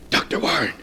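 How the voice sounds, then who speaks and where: A man's voice: bright, forceful tone, a little hiss or hum. An actor playing a frightened, hesitant workman who defers to his employer, in 1940s radio drama style. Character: frightened, reluctant workman helping a doctor open a coffin. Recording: muffled old 1940s radio recording.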